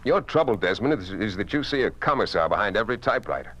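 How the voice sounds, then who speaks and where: Low-voiced, blustering newspaper boss. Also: male voice, very animated, fast talker, fairly bright tone. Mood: brusque, dismissive. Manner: brusque, placating, self-satisfied. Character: blustering, evasive newspaper publisher, a man. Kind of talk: 1950s radio drama acting.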